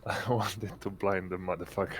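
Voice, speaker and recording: A man's voice: sits low, level tone, moderate pace. A man taking part in a tabletop game as a player, speaking in casual table chat. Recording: noisy room ambience.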